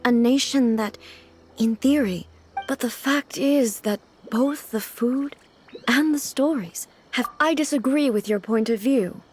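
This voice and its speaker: A noble, reserved ruler from an anime game , acted by a woman. Her voice sits a little high and she chooses words formally and thoughtfully. Music plays clearly behind her. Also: a little slow, darkish tone, natural voice. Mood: solemn, pensive. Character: dignified, reserved female ruler, ageless, formal, naive about ordinary life. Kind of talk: anime video game dialogue.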